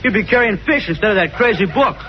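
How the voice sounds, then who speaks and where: A man's voice: high-pitched, lively intonation, a loud crowd. An actor playing a dim, guileless teenage boy student in a 1940s radio sitcom, folksy and ungrammatical. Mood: simple, cheerful. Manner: folksy, ungrammatical, slow-witted. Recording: muffled, very noisy old radio broadcast.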